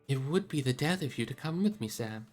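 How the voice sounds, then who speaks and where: A narrator plays a hobbit gentleman from a fantasy storybook. He is well-spoken and gentle yet resolute, pitched somewhat above the reader's narration, with music behind. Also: expressive, fairly quick, lightly acted. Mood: exasperated, resolute.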